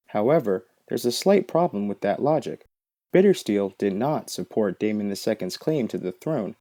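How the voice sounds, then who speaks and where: A man's voice: on the low side, mellow. A man giving scripted, documentary-style narration of fantasy lore in an explanatory, matter-of-fact way.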